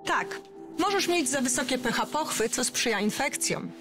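A woman reading a medicine ad voice-over in a clear, informative way. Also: high-pitched, animated, moderate pace, over music. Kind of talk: scripted ad narration.

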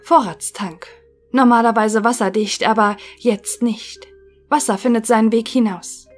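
A woman playing a formal, melancholic thinking machine in an audio drama, answering in clipped, measured phrases. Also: a little high, steady tone, soft background music.

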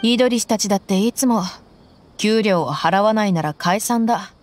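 A woman's voice: medium pitch, moderate pace, lightly acted. A woman playing a tough, plain-spoken young desert mercenary with a blunt, tomboyish manner in a video game. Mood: wry, pensive.

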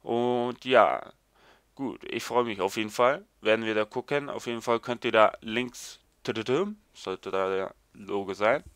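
Low-voiced man with a stuffy cold. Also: measured pace, fairly bright tone, smooth, dry recording. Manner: rambling, many fillers like quasi and sprich. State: slightly congested with a cold. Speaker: gaming site video host. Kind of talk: casual announcement over desktop screen. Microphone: desktop mic, voice-over of screen capture.

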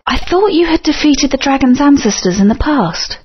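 A woman's voice: high-pitched, expressive, normal speed. A woman playing a brave, caring young heroine in a video game. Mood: earnest. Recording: dull lo-fi game audio.

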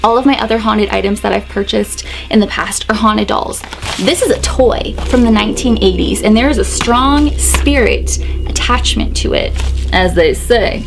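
A young woman in her twenties vlogging a chatty unboxing in a small bedroom, excitable and a bit hoarse as her voice fades. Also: mid-range voice, moderate pace, music in the background, low-quality recording. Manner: excitable, chatty, gasps and laughs a lot. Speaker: YouTuber. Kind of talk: casual unboxing vlog. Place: small bedroom decorated with dolls.

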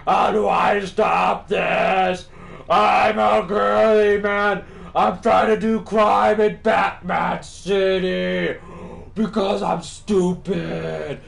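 A man voicing a whiny, stupid street thug in comic game commentary, wailing in panic with a strained yell. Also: level tone, gravelly, exaggerated voice. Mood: wailing, helpless. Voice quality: high, strained, yelling. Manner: exaggerated, drawn-out mock wailing. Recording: roomy echo with light background noise.

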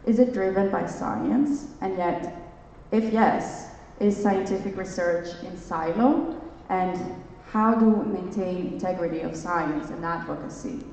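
A woman's voice: fairly low pitch, a little slow, a little mellow, slight background noise. A young woman from Greece speaking on a conference stage, earnest and searching for words, often restarting her phrases. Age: young adult. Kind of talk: onstage dialogue, reflective. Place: large hotel conference hall, on stage. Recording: distant, echoey hall, dull sound.

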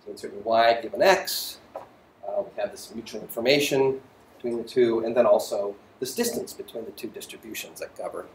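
A man's voice: fairly low pitch, brisk, slight background noise, rough recording. A middle-aged man giving a physics lecture as a voiceover to slides, thoughtful and full of ums and restarts.

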